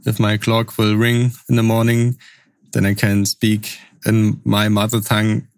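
A young man from Lusatia with a German accent, speaking non-native English as a podcast guest, answering in a halting, casual way. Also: fairly low pitch, completely flat delivery, dry recording. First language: Upper Sorbian.

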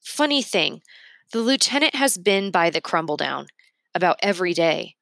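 A woman's voice: lowish voice, sing-song, normal speed, vocal fry, a bit bright, no room sound, clean studio sound. A woman reading a storybook aloud expressively, narrating in the first person.

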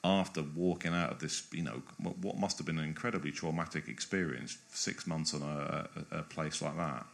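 This is a man with an extremely low, deep voice, talking at length as an interview guest. He speaks in a discursive, thinking-aloud way, often breaking off and restarting his phrases. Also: a little fast, creaky, few pauses, a bit bright. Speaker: guest biographer.

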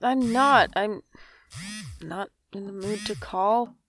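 A woman's voice: medium pitch, slow pace, crowd noise. A woman acting as a sardonic, stubborn older sibling in a naturalistic audio drama, with a dry, sarcastic delivery. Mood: irritated, dismissive. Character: sardonic, guarded older sibling, stubborn and bitter about parents.

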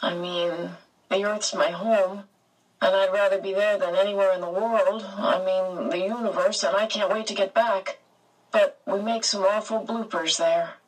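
An earnest, candid teenage boy, played by a woman in an audiobook, pitched up and slightly husky. He is polite and hesitant, restarting his thoughts, a little off the mic. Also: steady tone, moderate pace, distant mic, natural voice, low-quality recording. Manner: hesitant, frank, restarting with "I mean". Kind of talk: audiobook character voice.

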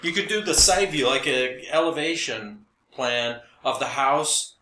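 A man's voice: mid-range voice, a little slow, pushed and bright, a little hiss or hum. An American man co-hosting a podcast, chatting casually with wry, sarcastic exaggeration. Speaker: podcast co-host. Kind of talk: casual humorous chat. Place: echoey room, recorded for a podcast and live stream. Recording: echoey, roomy sound.

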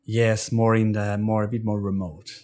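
A man from Portugal with a Portuguese accent, recounting his life in a casual interview, halting with frequent restarts and fillers. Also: on the low side, flat delivery, mellow. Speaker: interview guest. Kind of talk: casual storytelling.

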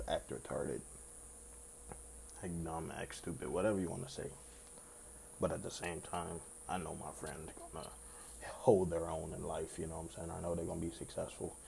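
Deep raspy laid-back man. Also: dark tone, a little hiss or hum, low-quality recording. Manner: laid-back, rambling, swears freely. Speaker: podcast host. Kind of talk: casual solo podcast chat.

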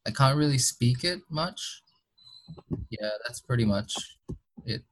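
A man's voice: medium pitch, animated, takes it slowly, a bit bright, breathy edge. A young man with an American accent talks as an interview guest. He rambles in a loose, easy way and laughs often. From Bangladesh. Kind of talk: casual interview chat.

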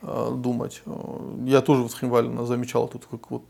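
A man's voice: mid-range voice, monotone, brisk, gravelly, mellow, slightly rough. A man interviewing a guest, talking in a reflective, conversational way. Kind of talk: casual conversation.

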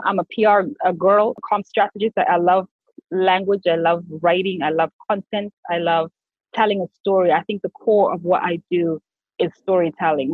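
A woman from Zimbabwe in her thirties tells her story in an animated, rambling way, circling back on herself with fillers. Her voice is thin and tinny, like a phone line, and sounds very dry and close. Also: on the low side, husky, dry recording. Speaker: PR and communications strategist, guest. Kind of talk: conversational interview.

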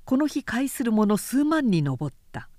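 A woman's voice: on the high side, very animated, measured pace, mellow, no room sound, clean studio sound. A woman narrating a literary audiobook in a composed, dramatic storytelling manner.

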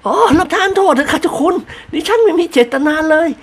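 A reader putting on the high, shrill voice of a sharp-tongued elderly noblewoman who scolds her servant in an audiobook. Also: lively intonation, a little fast. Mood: polite. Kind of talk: audiobook character voice.

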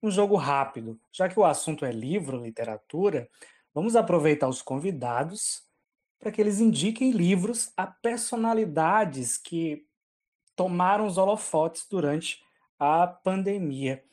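A podcast host leads the segment in a measured, announcing way. The voice sits at a middle pitch. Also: expressive, darkish tone. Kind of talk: podcast hosting.

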